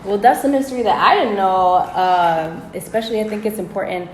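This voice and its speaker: A woman hosting a casual interview, talking in a chatty, animated way with lots of "like". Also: medium pitch, brisk, reverberant, slight background noise, rough recording. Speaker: host. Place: indoors in the Dominican Republic.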